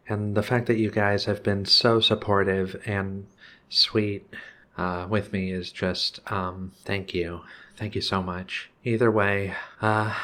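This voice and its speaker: ASMR host, male, low register, very unhurried, soft-spoken and halting, making channel announcements. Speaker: ASMR video creator and host.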